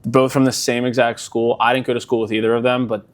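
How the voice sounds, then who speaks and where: A young man in a laid-back interview chat, voice sitting in an ordinary middle pitch. He rambles loosely, leaning on filler words as he thinks aloud. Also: quick pace. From Delaware County, Pennsylvania. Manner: relaxed, rambling, many fillers like "like". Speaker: musician guest.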